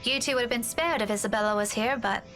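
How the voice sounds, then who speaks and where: A woman playing an earnest, dutiful young woman in a visual novel game, conversational and gently coaxing. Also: fairly high pitch, fast talker. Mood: earnest, persuading. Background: game music.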